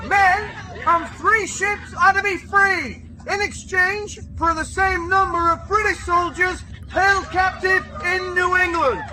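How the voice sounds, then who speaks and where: A man's voice: very high-pitched, fairly even intonation, slow pace, a crowd in the background, low-quality recording. A man playing an earnest American envoy in a radio drama, giving a formal public address. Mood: solemn announcing.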